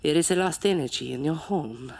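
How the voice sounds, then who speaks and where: An actress playing an eerie old woman who is a spirit medium, speaking slowly and ominously in an audio drama. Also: low voice, vocal fry, a little mellow, husky, exaggerated voice. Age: older.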